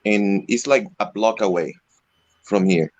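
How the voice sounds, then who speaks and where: Low-voiced Venezuelan-raised man, halting delivery. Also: no room sound. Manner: halting, frequent "you know" fillers. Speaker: interview guest, electric skateboard rider. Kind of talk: casual video call interview.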